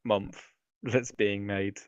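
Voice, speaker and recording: A man's voice: on the low side, steady tone, slow, clear, resonant tone, no echo. A man co-hosting a podcast, chatting casually and informally, sometimes tentative, with some swearing. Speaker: podcast co-host. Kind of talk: casual chat about films and games. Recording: dull, dry, lo-fi voice track.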